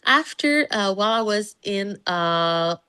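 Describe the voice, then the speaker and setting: A woman with a French Canadian accent speaks English as a second language in a conversational interview. Her pitch sits in an ordinary middle range. She hesitates with frequent fillers and restarts her sentences while searching for the right words. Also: expressive, takes it slowly, bright, forceful tone, clean tone. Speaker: podcast guest, pediatrician.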